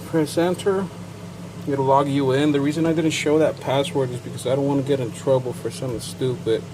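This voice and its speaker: Easygoing Chicano technician explaining a setup. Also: male voice, medium pitch, brisk, noisy background. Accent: Mexican-American. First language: bilingual English and Spanish. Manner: relaxed, rambling, with fillers and asides. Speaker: certified payment terminal technician. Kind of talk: casual how-to demonstration.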